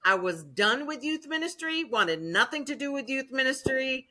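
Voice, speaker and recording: A woman's voice: high-pitched, animated, moderate pace, pushed and bright. A woman in her fifties from Maine, a youth minister telling her life story earnestly in an interview. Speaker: youth ministry worker, guest.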